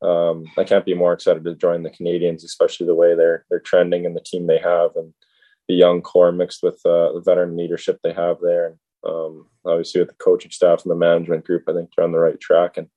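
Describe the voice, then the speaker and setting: Deep flat-voiced young hockey player. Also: male voice, no echo. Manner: earnest, steady, halting. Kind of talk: press conference clip. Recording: dull lo-fi press clip.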